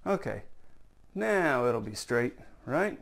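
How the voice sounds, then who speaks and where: A man's voice: mid-range voice, very animated, slow, vocal fry, fairly bright tone. Folksy man in a workshop. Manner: folksy, self-joking, talks while working. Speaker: model diorama builder. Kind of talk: casual how-to demonstration. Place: workshop, indoors.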